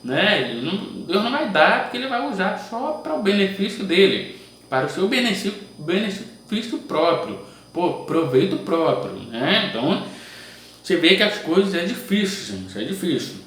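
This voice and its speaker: A man reads scripture aloud and breaks off to comment in a loose, conversational way, often tagging his lines with "né?". His voice sits fairly high and swings lively up and down. He is recorded from a distance in a strongly echoing room. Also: moderate pace. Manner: reads verses aloud, then comments with frequent "né?" asides. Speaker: preacher reading scripture. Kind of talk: informal Bible reading and commentary. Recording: distant mic, very echoey room.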